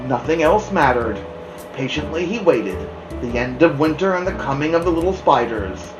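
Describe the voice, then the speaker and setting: A woman narrating a children's story aloud as a host, in an expressive storytelling style. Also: sits low, moderate pace, over music. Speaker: storybook reader, podcast host. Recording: roomy, echoing room sound.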